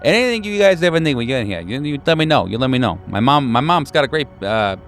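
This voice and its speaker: A man's voice: medium pitch, sing-song, quick pace, fairly bright tone, soft background music, voice pushed up. A man voicing a jovial, eager-to-please police detective who rambles, repeats himself and chuckles, in a tabletop roleplaying game. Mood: effusive, friendly.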